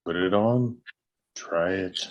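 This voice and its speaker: Male actor as old wizard: low, grave, commanding, portentous; fantasy script read, slightly lo-fi. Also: slow. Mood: grave, ominous.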